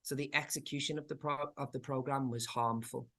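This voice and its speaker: A man with a Liverpool accent, a community arts director giving a thoughtful, halting talk over a video call with many pauses and fillers. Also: mid-range voice, steady tone, a bit bright. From England. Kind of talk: online presentation talk.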